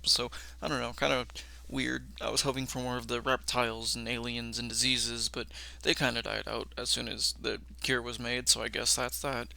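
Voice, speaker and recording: A man's voice: mid-range voice, little pitch movement, moderate pace, creaky, sharp tone, rough recording. A young man hosting a reaction video, casually commenting and reading text aloud.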